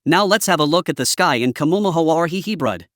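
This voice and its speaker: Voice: mid-range voice, lively intonation, fast talker, fairly bright tone, no echo, clean studio sound. A presenter improvising dramatic mock breaking-news commentary for a fictional emergency scenario.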